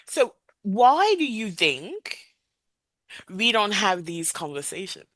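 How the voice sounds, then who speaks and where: A woman hosting a podcast interview, speaking in a measured, earnest way into a headset. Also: mid-range voice, sing-song, a bit bright, dry recording. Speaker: podcast host.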